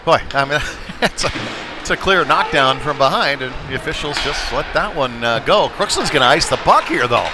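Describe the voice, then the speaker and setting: A man doing conversational, folksy live play-by-play commentary of a youth hockey game, getting excitable when play heats up. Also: fairly high pitch, moderate pace. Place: indoor ice rink. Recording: live rink stream with music behind. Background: music over rink PA.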